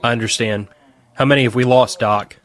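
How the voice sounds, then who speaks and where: A weary survivor nursing the sick acted by a man in an audio drama. His mid-pitched voice is gentle and reassuring, caring with his comrades. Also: steady tone, fairly quick, natural voice. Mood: weary, resigned.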